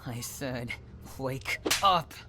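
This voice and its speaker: A man performs an unhinged, possessive stalker in an intimate audio drama, speaking slowly to a captive lover. He coos endearments and threatens in the same breath, with a menacing, obsessive temper. There is some noise behind the voice. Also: medium pitch. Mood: furious, commanding. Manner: cooing endearments mixed with threats. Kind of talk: dramatic roleplay monologue addressed to the listener.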